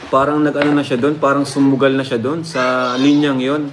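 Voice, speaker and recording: A man's voice: mid-range voice, flat delivery, normal speed. A man hosting a reaction video, commenting casually to his viewers and repeating phrases for emphasis. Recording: clear background noise.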